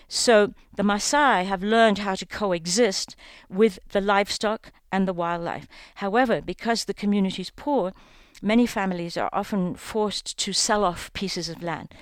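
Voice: medium pitch, smooth, dry recording. An interview guest from Kenya who founded a conservation organization, explaining at length in an articulate, flowing way.

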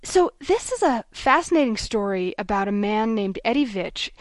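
A woman hosting a radio interview show, reading measured, scripted introductions and questions. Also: mid-range voice, big pitch swings, dry recording, clean studio sound. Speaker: radio host.

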